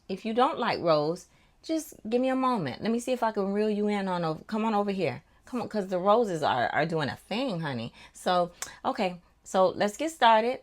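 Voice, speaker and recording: A middle-aged woman with a naturally deep voice, a little under the weather, chatting casually and folksily to her viewers as a vlog host. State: voice affected by recent illness. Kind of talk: casual chat to viewers about perfume.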